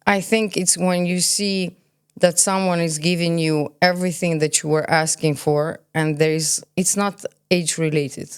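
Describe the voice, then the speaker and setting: A woman of about forty with a fairly low voice speaks as a guest in a conversational interview. She is candid and firmly opinionated. She often throws out a question and answers it emphatically herself. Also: steady tone, moderate pace, clear, resonant tone. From born in Kazakhstan, grew up in Belgium. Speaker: podcast guest, cosmetologist.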